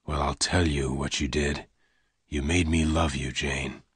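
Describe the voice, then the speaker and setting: A man doing a quiet, hard gunfighter. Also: little pitch movement, a little slow, slightly rough, no room sound, studio quality, full character voice. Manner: low, hushed, clipped speech. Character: calm, hard male gunfighter, quiet and blunt.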